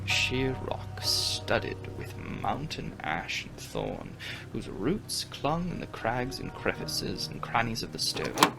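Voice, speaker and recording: A man reads a gothic novel aloud in a low register with a gravelly creak. His delivery is theatrical and swings widely in pitch, slipping at times into a hush. Music plays clearly beneath the narration. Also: rough recording. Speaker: audiobook reader. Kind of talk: dramatic reading of a gothic novel. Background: music under the reading.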